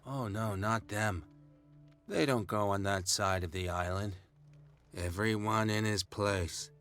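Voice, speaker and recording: An actor playing a dazed, injured island man in a video game, muttering and rambling in a halting, confused way. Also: lowish voice, fairly even intonation, fairly bright tone, soft background music. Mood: dazed, evasive. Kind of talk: video game cutscene acting.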